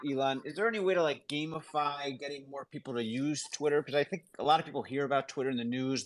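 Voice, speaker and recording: A man asking a casual question as a participant in a live discussion. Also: medium pitch.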